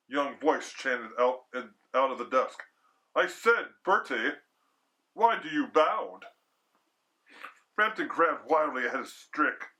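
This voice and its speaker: A man's voice: mid-range voice, sharp tone. A man reading a story aloud theatrically as a storyteller, with casual, slangy, chatty asides. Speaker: storyteller, horror show host.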